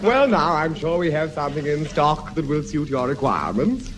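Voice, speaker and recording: A man's voice: fairly high pitch, expressive, moderate pace, fairly bright tone. An English man acting a snooty, fawning luxury car salesman in a 1950s radio comedy, speaking with affected, disdainful refinement. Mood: smooth, salesmanlike. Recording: noisy old archive radio comedy recording.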